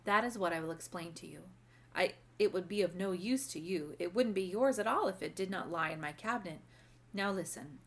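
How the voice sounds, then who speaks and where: A woman voicing a kindly, wise old grandmother in a storybook, gently and formally giving instruction. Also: fairly low pitch, fairly quick, natural voice. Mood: instructing. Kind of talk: storybook read-aloud character voice.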